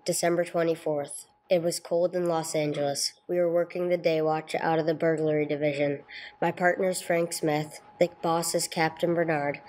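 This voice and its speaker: A woman's voice: low-pitched, a little mellow, slight background noise, lightly acted, low-quality recording. A woman playing a dry, businesslike police detective sergeant in a radio drama, with terse, matter-of-fact delivery. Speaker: narrator and lead actor. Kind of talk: old-time radio police drama, scripted narration.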